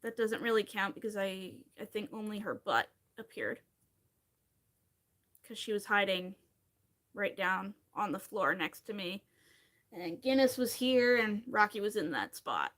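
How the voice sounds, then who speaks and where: A woman's voice: mid-range voice, lively intonation, a little slow, fairly bright tone. A woman in her thirties from Pennsylvania, chatting casually and rambling with wry asides while she crochets on a livestream.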